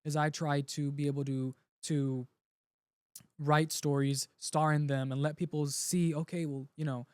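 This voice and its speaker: A young man from Puerto Rico, a native Spanish speaker, talks earnestly as a guest in an interview. His voice sits a bit high for a man. He restarts his sentences often, and the sound is dry and close, with no room echo. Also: flat delivery, measured pace, darkish tone, clean tone. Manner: earnest, heartfelt, with frequent restarts. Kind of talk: casual interview conversation.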